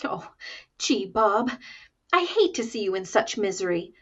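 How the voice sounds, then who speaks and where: A woman's voice: medium pitch, sing-song, unhurried, a bit bright. A woman playing a sweet, sympathetic female friend with a gushing, cooing manner, in 1940s radio comedy style. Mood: sympathetic, fussing. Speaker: actress in a radio comedy recreation. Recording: dull, lo-fi sound.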